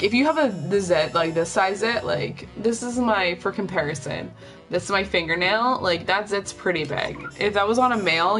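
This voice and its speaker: A young woman chatting casually on a live stream, blunt and profane, rambling with lots of filler words. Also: fairly bright tone. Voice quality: lower voice than most women. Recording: faint music behind. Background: faint music.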